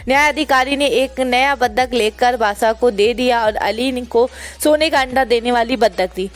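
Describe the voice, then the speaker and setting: Woman, higher-pitched voice, storyteller, scripted kids' story narration, music in the background. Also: moderate pace. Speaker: storyteller and channel host.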